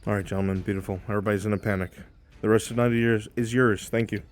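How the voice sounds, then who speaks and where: A low-pitched, commanding military marshal, acted by a man in an improvised dark fantasy roleplay. He speaks formally and with measured authority. Soft music plays beneath the voice. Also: fairly even intonation, close to an everyday voice. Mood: brisk. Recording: background music under the voice.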